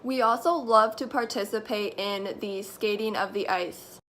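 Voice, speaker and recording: A teenage girl giving a mock-serious school presentation, telling a made-up story in a deadpan, mock-formal way. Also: medium pitch, unhurried, slight background noise. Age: teens. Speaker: student presenter.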